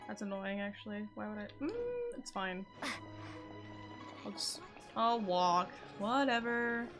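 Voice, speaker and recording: A woman's voice: mid-range voice, steady tone, a little slow, music in the background. A woman livestreamer chatting casually as she plays, rambling informally to herself and her viewers. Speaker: game livestreamer. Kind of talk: casual chat while playing.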